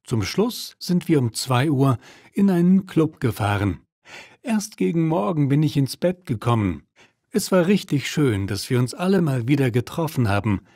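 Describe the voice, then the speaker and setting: A man playing a tired but sociable thirty-year-old office worker, telling his story clearly in a scripted language-lesson dialogue. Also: mid-range voice, sing-song, moderate pace, a little mellow, no room sound, clean studio sound. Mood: pleased.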